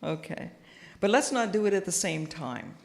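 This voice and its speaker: Quiet-voiced woman preaching in a reverberant hall. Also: on the low side, expressive, gravelly. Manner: gentle, thoughtful, pauses with frequent fillers. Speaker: guest minister. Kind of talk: sermon. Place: church sanctuary. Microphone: house sound system microphone.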